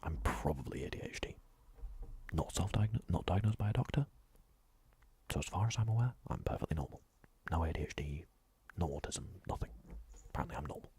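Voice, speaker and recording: A young man talking to his chat in a soft, rambling whisper on an ASMR stream, often repeating himself. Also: sits low, animated, brisk, slight background noise.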